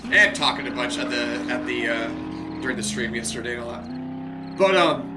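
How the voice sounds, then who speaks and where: A man's voice: medium pitch, animated, normal speed, loud music behind the voice. A man chatting casually on a gaming stream, his voice worn from a cold, rambling with restarts and chatty asides. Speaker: streamer and co-host.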